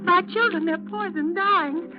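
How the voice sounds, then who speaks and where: A woman's voice: extremely high pitch, a little slow, lo-fi sound. An actress playing a frantic, panicked mother begging for help in an old radio drama. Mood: panicked, desperate. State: panicked, crying out. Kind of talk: 1930s radio drama acting. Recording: thin old radio recording with music.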